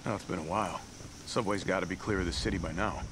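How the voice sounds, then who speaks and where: A man's voice: low voice, quick pace, background noise, lightly acted. An actor playing a determined, protective male action hero, informal and plain-spoken, in a video game cutscene. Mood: relaxed.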